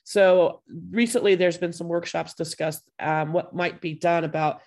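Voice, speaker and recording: A woman hosting a podcast over a video call from home, her voice hoarse from a cold, chatting casually with plenty of fillers. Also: fairly low pitch, fairly even intonation, measured pace, a little mellow. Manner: chatty, friendly, loose with fillers. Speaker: podcast host.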